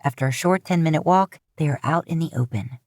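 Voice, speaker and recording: A woman's voice: low voice, fairly quick, dry recording, studio quality. A woman reading scripted fiction aloud as an audiobook-style narrator. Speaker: podfic narrator.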